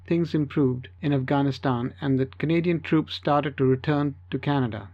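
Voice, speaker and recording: A man's voice: a little high, muffled recording. A man reading a scripted first-person story as an audiobook narrator.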